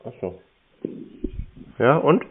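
A man in casual phone banter, answering tersely and teasingly with a straight face. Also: takes it slowly. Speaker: prank call taker stringing scam callers along. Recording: muffled narrow-band phone call audio.

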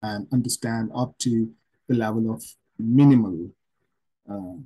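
A middle-aged man from Pakistan with a Pakistani accent, a native Urdu speaker, gives an online English lesson from a home room, explaining slowly and repeating himself. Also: lowish voice, fairly even intonation, dark tone, breathy edge, rough recording. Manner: slow, repetitive explaining with many pauses. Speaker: English teacher. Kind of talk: online lecture to camera. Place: home room with plants and shelves.

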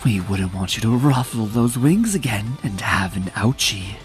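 A man's voice: lowish voice, lively intonation, faint music behind, lightly acted. A man voicing a menacing cartoon gremlin villain, mocking and formal, savouring each word theatrically. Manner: slow, mocking, formal, drawn-out. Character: gloating, menacing villain gremlin who feeds on despair. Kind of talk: theatrical comic dub villain.

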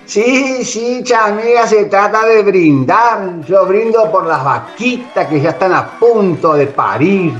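A man's voice: sits high, expressive, takes it slowly, few pauses, faint music behind, full character voice. A man playing a hearty party-going villager. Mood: festive. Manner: hearty, shouting toasts. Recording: dull roomy sound.

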